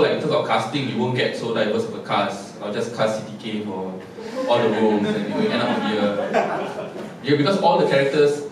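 A man with a Singaporean English accent, a playwright speaking casually on a post-show panel, rambling with many fillers. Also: lowish voice. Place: stage of a theatre, seated on a panel. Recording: echoey hall from far off, background noise.

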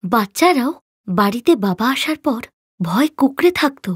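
A woman's voice: on the high side, big pitch swings, moderate pace, breathy edge, no echo, studio quality. A woman narrating a moral story as a storyteller, reading from a script.

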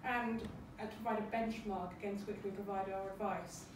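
A British woman giving an informal, slightly halting and self-effacing short presentation as a panellist. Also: mid-range voice, steady tone. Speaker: heritage adviser on a panel. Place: lecture room, indoors. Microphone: far camera in the audience. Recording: echoey lecture room, distant mic, noisy.

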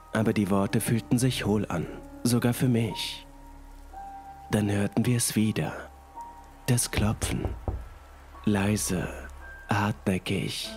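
Man, low voice, horror story narration, scripted, background music, rain. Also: a little mellow. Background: music and rain sounds.

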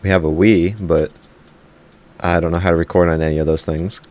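A young man with a low, mumbly voice chatting casually while playing a game with a friend, soft-spoken and a bit flat. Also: a little fast. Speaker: gaming friend. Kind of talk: casual gaming chat.

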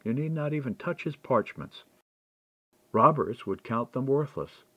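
A man's voice: mid-range voice, lively intonation, unhurried, no echo. Guy doing a sly medieval lady, silky and coaxing, voice lifting and swooping, audiobook, bit muffled. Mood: conspiratorial, reassuring. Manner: smooth, coaxing, persuasive. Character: silken, scheming medieval noblewoman coaxing her husband.

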